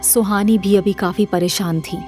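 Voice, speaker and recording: A woman's voice: medium pitch, steady tone, moderate pace, mellow, faint music behind. A woman narrating a scripted dramatic story as the narrator of an audio series.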